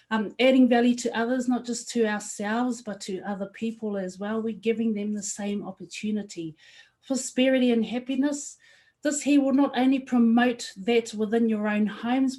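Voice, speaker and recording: A young woman from New Zealand giving an earnest promotional presentation for her company, often pausing on ums and restarting phrases. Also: mid-range voice, mellow.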